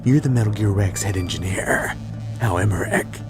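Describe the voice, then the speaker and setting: Guy doing gruff low game soldier, terse n stern, gravelly, loud music under it. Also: moderate pace, lightly acted. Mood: stern, guarded. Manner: terse, interrogating, deadpan. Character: gruff, stern, battle-hardened male soldier, a lone operative. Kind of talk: video game dub impression.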